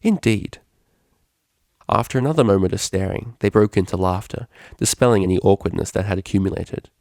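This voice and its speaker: A man's voice: sits low, quick pace, darkish tone, no room sound, clean studio sound. A man narrating a scripted story as a fan fiction reader.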